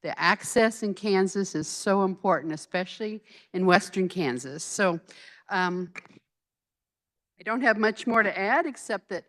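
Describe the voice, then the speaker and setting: Halting female legislator in floor debate. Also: mid-range voice, animated, a bit bright. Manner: halting, searching for words. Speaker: state legislator. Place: legislative chamber.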